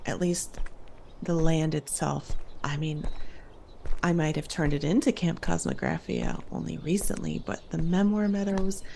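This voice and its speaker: A woman's voice: deep voice, steady tone, a little slow, vocal fry, darkish tone, a little hiss or hum. A woman playing a friendly, reassuring summer camp director who encourages her campers in an audio drama. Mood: hushed storytelling. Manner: encouraging, addresses listeners as campers.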